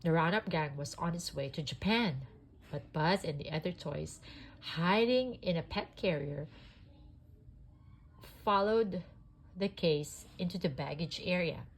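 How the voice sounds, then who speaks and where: A sniffly woman reading a children's storybook aloud in an expressive, homemade style. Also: on the low side, unhurried, husky, low-quality recording.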